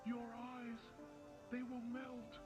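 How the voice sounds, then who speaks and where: Synthetic narrator, ominous warnings, one word at a time, extremely slow, mid pitch, clear music under it. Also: completely flat delivery, a little mellow. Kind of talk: ominous recorded instructions.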